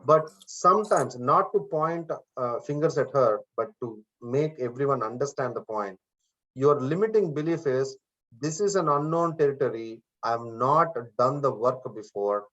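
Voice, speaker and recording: A man's voice: medium pitch, animated, normal speed, fairly bright tone. Indian-accented male instructor, frank and repetitive, on a muffled video call. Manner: direct, repeats himself, frank motivational tone. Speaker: course instructor. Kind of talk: online class coaching talk. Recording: dull lo-fi video call audio.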